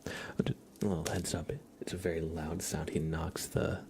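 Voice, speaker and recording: Deep, whispery man doing ASMR. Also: flat delivery, moderate pace, breathy edge. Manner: gentle, hushed delivery, often dropping into a whisper. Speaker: ASMR livestream host. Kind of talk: ASMR, soft-spoken and whispered livestream chat.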